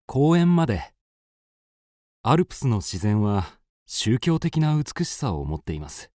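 A man's voice: mid-range voice, big pitch swings, a little slow, mellow, dry recording, studio quality. An actor playing a courteous, wistful and persuasive male traveller in a radio drama, polite and poetic. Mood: gentle, courteous.

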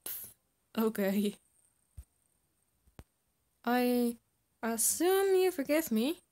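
A woman voicing a gentle, affectionate, sly male scientist who coaxes and soothes in an amateur comic dub. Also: a little high, expressive, very slow.

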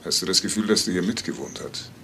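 An actor voicing a self-assured, dry investigator in a German crime radio drama. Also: male voice, sits low, fast talker, fairly bright tone. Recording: clearly noisy ambience.

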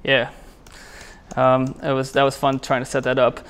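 A man presenting at a programming meetup in a casual, conversational, somewhat halting way as he walks through a live demo. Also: medium pitch, monotone, fairly bright tone, clear, resonant tone. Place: indoor meetup room, speaking before a small group.

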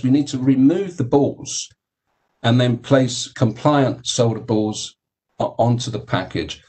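A man's voice: medium pitch, a little mellow, breathy edge. Male professor giving a conference keynote, hesitant delivery full of fillers, mid-range voice. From United Kingdom. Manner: halting, many fillers and repeated words. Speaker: keynote speaker, engineering professor. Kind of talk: technical conference presentation.

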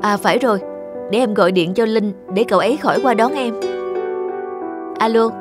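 Woman as young cartoon wife, self-effacing, polite, hesitant, highish pitch. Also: fairly quick, natural voice. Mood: quietly resolute. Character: modest, self-effacing young wife running a drink stall. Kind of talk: cartoon dialogue. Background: music.

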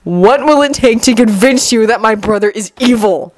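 A woman's voice: a little high, moderate pace, no echo, close to an everyday voice, poor audio. A woman voicing an exasperated, disbelieving teenage ninja boy who speaks in a demanding way, in a story reading. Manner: demanding, incredulous.

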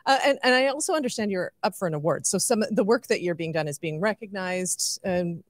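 A woman's voice: medium pitch, lively intonation, fairly quick, no room sound. A middle-aged woman interviewing a guest in a conversational way on a handheld microphone. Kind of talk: conversational trade-show interview. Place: indoor interview booth at a conference.

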